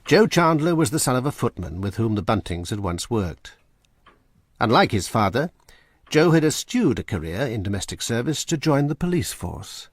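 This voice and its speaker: A man narrating a radio drama in a measured, dramatic storytelling manner. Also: medium pitch, big pitch swings, no room sound. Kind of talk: scripted narration.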